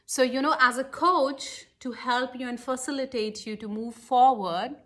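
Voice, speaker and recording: A woman's voice: high-pitched, moderate pace, a bit bright. A middle-aged woman with an Indian accent, speaking emphatically and directly to listeners as a life coach telling motivational stories. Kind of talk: motivational talk with storytelling.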